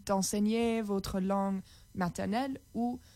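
A woman's voice: medium pitch, fairly even intonation, unhurried, mellow, no room sound. A woman radio host announcing the station and politely asking a guest questions. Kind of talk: radio interview hosting.

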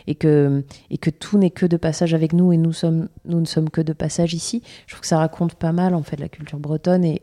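Low mellow-voiced woman singer. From Brittany. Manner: hesitant, many fillers and restarts. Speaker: singer being interviewed. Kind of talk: casual interview answers.

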